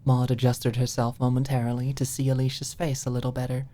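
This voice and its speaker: A woman with a low voice reads a scripted story as an audiobook narrator. The delivery is in the style of an ASMR audio narration. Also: monotone, fairly quick, warm tone.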